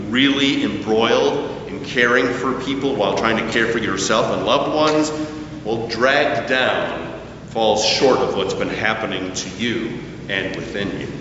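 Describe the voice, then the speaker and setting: A man delivers an earnest, reflective sermon, heard from some distance. Sound echoes strongly around the church, and a low rumble of noise sits under the muffled recording. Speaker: pastor.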